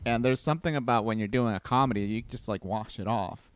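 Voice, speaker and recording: A man hosts a casual chat. His voice is clean and smooth at a middle pitch, recorded dry and close, and he talks in an easy, self-mocking way. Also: quick pace, dry recording. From Costa Rica. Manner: easygoing, self-deprecating. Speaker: podcast host.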